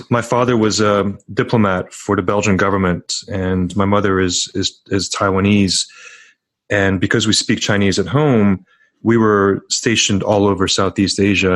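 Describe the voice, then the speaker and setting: A man's voice: on the low side, no echo. A middle-aged man talking earnestly as a podcast guest, telling stories with frequent restarts. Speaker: podcast guest, startup founder. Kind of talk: casual podcast interview.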